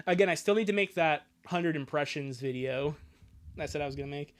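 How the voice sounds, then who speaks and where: A man's voice: on the high side, steady tone, normal speed. A man chatting casually on a livestream as an amateur impressionist, rambling and stammering with jokey asides. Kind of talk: casual livestream chat and reading aloud.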